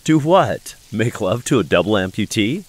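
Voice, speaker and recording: A man playing a suave, secretive inventor with a gravelly voice in an audio drama. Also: lowish voice, big pitch swings, normal speed. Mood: smooth, amused. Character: suave, secretive male American inventor of Cheyenne roots.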